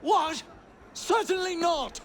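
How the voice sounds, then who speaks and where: An actor playing an indignant guard in a video game, shouting and barking commands. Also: male voice, very high voice, slow, slight background noise. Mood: indignant, commanding. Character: indignant male guard yelling orders. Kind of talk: video game cutscene acting.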